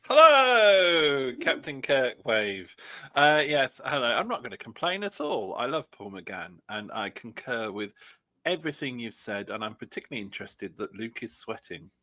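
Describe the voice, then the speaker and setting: Bright-voiced, animated man on a podcast. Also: medium pitch, normal speed, no room sound. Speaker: podcast guest. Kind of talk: casual chat.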